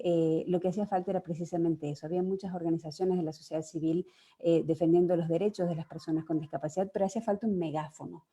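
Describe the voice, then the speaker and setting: Woman journalist, low warm voice, hesitant, video call. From Argentina. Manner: earnest, hesitant with many fillers. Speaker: journalist guest. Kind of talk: personal account on a panel.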